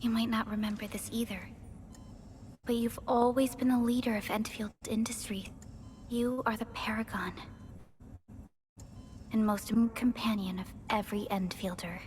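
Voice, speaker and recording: A woman playing a calm, caring young supervisor in a video game, speaking softly and breathily in a measured, gentle, formal manner. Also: a little high, faint music behind, close to an everyday voice. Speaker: game character voice actor. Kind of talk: scripted video game dialogue.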